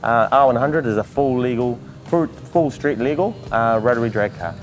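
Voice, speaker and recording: A man with a New Zealand accent, an event organizer, answering interview questions in an earnest, halting way with many fillers. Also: medium pitch, over music. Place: outdoors at a motorsport event.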